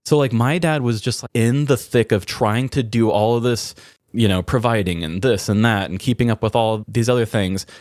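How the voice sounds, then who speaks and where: A man's voice: mid-range voice, normal speed, darkish tone, dry recording, clean studio sound. A man talking informally and introspectively, as a podcast host in a candid personal conversation. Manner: introspective, informal, occasional swearing.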